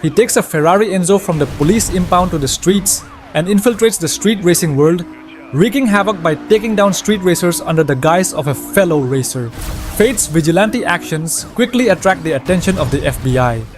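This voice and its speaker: A man with a slightly high voice narrates a scripted video game story recap. He reads as a storyteller. Faint music runs underneath. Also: lively intonation, a little fast.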